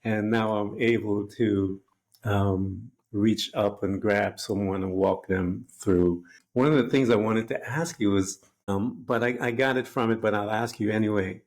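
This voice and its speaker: Earnest male interviewer with a lowish voice, rambling reflective style. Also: fairly even intonation, measured pace. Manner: earnest, rambling, fond of "if you will". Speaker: podcast host.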